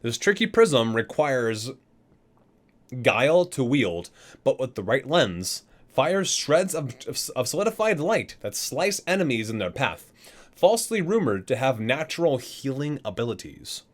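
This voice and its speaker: A man's voice: mid-range voice, very animated, unhurried. A man reading aloud in an ASMR style with casual asides, stumbling over words and correcting himself as he goes. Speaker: ASMR video creator reading a game guidebook.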